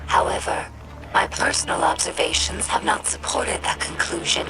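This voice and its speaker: A woman voicing a thoughtful alien scribe in a video game, speaking formally and precisely in a breathy rasp, measured and quietly wounded. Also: close to an everyday voice, poor audio. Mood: reflective, puzzled. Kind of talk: scripted video game monologue. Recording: loud music behind the voice. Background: loud music.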